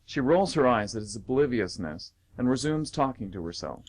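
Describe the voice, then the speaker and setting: A man's voice: mid-range voice, animated, moderate pace. A man narrating an amateur radio play, reading out scripted stage directions.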